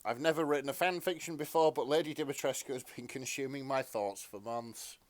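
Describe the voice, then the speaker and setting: A man's voice: medium pitch, a little fast, slight background noise. A man co-hosting a podcast, chatting casually and reading fan fiction in a hesitant, bemused, sweary manner. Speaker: podcast co-host.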